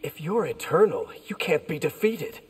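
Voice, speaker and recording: An actor playing an eager young fighter in a fighting game, speaking in an earnest, direct, questioning way. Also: male voice, a little high, very animated, moderate pace, a little mellow, husky, heavily acted. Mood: probing. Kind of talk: fighting game character dialogue. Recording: long reverb, music behind.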